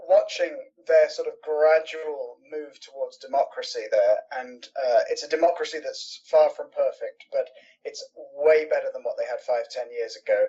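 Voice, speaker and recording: A man's voice: on the high side, darkish tone, slightly rough, highs cut off. A man who is a musician guest, speaking earnestly in a rambling way and repeating phrases as he explains. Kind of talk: casual interview chat.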